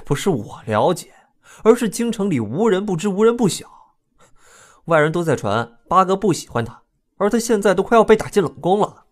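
High-voiced, excitable young prince. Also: male voice, a little fast. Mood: indignant, insistent. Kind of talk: audio drama acting.

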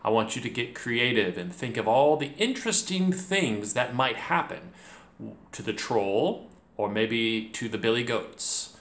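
A man in his thirties, a teacher leading a read-along story lesson for children, clear, encouraging and deliberate. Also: mid-range voice, lively intonation, a bit bright. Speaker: teacher, host. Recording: roomy home recording.

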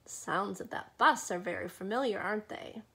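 A middle-aged woman reading a children's picture book aloud expressively, with dramatic pauses and casual asides to young listeners. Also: mid-range voice, vocal fry, bright, forceful tone, breathy edge.

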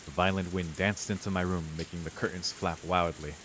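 A man's voice: low-pitched, steady tone, a little fast, a bit bright. A young man, a let's player reading game narration aloud with casual reactions. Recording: clear rain and hiss behind.

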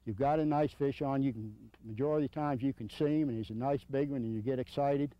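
The sound is dull and lo-fi, like an old video, with very little echo. A middle-aged man with a medium-pitched voice gives practical, hands-on instruction. He is plainspoken and folksy, often repeating a step. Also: quick pace. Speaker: surf fishing instructor. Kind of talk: informal hands-on instructional demonstration. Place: outdoors on a sandy beach.

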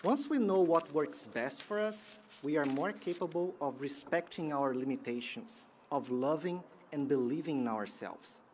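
Guy w/ a giving a grad speech outdoors, slightly high voice, bit of background hiss. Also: darkish tone. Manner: measured, earnest delivery with pauses. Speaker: graduation keynote speaker. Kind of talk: prepared commencement speech. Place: outdoor sports field ceremony. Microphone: podium microphone.

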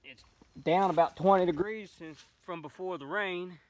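A man's voice: sits high, normal speed, a little mellow. A middle-aged man from West Virginia, hosting a fishing vlog in easygoing running commentary by a creek, filmed on a phone. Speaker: fishing channel host. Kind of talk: casual outdoor fishing vlog. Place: outdoors by a small wooded creek. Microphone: phone camera, sometimes set far off.

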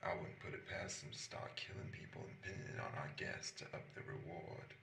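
A man playing a gruff, protective demon best friend in a roleplay audio drama, speaking in a measured, frank and loyal way. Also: natural voice. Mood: matter-of-fact. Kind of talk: roleplay audio drama, one-sided dialogue. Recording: roomy, distant, noisy recording.

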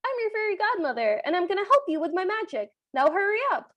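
A bossy cartoon fairy godmother, as a woman podcaster puts her on. She speaks high and briskly, giving orders with no patience for questions. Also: sing-song, lo-fi sound.

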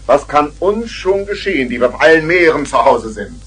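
An actor playing a talkative, playful uncle with mock-grand exclamations in a 1970s radio play. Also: male voice, fairly high pitch, lively intonation, moderate pace, fairly bright tone, slightly rough. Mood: jovial, teasing. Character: boisterous, talkative joker uncle of two children. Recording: noisy old vinyl radio play.

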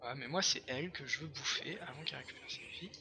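A hoarse young man chatting casually over his own gameplay, excitable and full of fillers. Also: mid-range voice, fast talker, noisy background. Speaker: gameplay commentator. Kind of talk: casual let's-play commentary.

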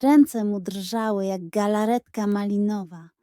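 Slow, mellow woman narrating a children's story. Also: mid-range voice, fairly even intonation, clean tone, no echo, studio quality. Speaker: audiobook narrator.

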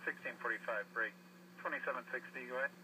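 Fast-talking male dispatcher over noisy radio. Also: medium pitch. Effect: radio scanner. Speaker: emergency dispatcher. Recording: noisy radio through scanner speaker.

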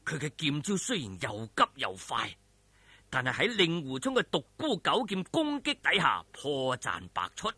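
A man's voice: fairly high pitch, huge pitch swings, normal speed, sharp tone, breathy edge, dry recording. A man with a Hong Kong Cantonese accent narrating a martial arts story in a theatrical, colloquial, emphatic way. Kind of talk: dramatic wuxia audiobook narration.

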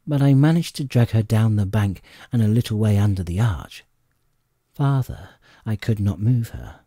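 A man narrating an adventure audiobook in an expressive, measured storytelling manner. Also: fairly low pitch, breathy edge, no room sound, studio quality. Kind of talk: dramatic scripted storybook narration.

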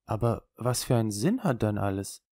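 Man playing a shy young apprentice, quiet and halting, dry sound. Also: fairly low pitch, lively intonation, no echo. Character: young male apprentice, earnest, hesitant.

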